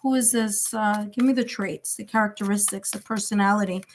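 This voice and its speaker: A woman's voice: medium pitch, steady tone, a little fast, slight background noise. A woman with a New York accent giving live tarot readings in a chatty, reassuring way, thinking aloud with fillers. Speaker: tarot reader.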